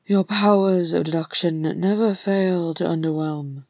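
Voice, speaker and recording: A woman voicing a brooding, gruff, regal male dwarf king in a story, with a grim, terse and solemn manner. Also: sits low, little pitch movement, slow pace, warm tone, no echo.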